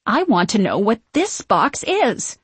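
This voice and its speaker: A woman's voice: on the high side, very animated, a little slow, breathy edge, no echo, full character voice. A woman playing a haughty, imperious villainous witch in an audiobook, with a piercing voice and grand, scornful archaic diction. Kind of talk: audiobook character acting.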